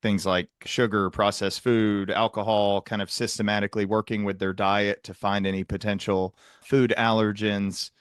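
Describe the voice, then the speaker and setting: A man's voice: lowish voice, level tone, normal speed, few pauses, clean tone, no echo, clean studio sound. A man hosting a conversational interview, explaining things at length in technical terms as a psychiatrist. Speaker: host, psychiatrist interviewing a guest.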